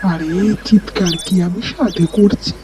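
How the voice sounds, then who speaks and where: A woman voicing an excitable, pious, gullible male farmer in a cartoon, exclaiming and repeating phrases over animal sounds. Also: on the low side, measured pace, background noise, close to an everyday voice. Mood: excited. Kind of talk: animated storybook cartoon dialogue.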